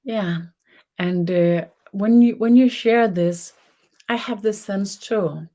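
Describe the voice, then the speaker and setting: Softly spoken Danish woman teaching. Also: mid-range voice. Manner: soft, unhurried, searching for words with restarts. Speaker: spiritual teacher. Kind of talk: webinar teaching.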